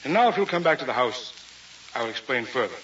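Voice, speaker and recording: Pompous cold scientist husband, old radio play, bright edgy voice, muffled hissy recording. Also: male voice, mid-range voice, very animated, fast. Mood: pompous lecturing. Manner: pompous, lecturing. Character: arrogant, coldly rational male scientist and domineering husband. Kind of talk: vintage radio drama acting.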